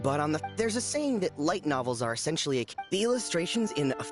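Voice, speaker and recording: Animated, higher-voiced opinionated townsman. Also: fast talker. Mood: instructive. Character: opinionated light novel enthusiast, townsman, instructive. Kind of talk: video game voice acting. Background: music.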